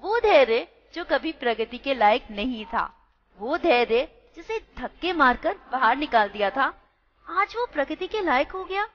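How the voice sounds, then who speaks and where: Expressive high-voiced woman narrator. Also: a little slow. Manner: addresses listeners directly, expressive. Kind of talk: radio play narration. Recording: muffled recording with music behind.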